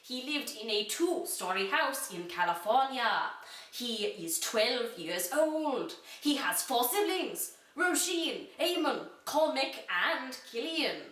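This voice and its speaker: A woman's voice: high voice, very animated, takes it slowly, fairly bright tone. A woman narrating a story in a dramatic storybook reading style. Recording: roomy echo, distant microphone.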